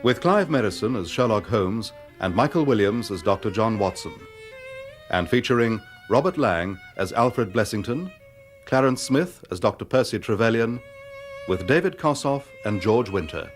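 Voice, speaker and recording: A man's voice: on the low side, animated, normal speed. A man with a British accent reading formal scripted credits as a radio announcer. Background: violin music.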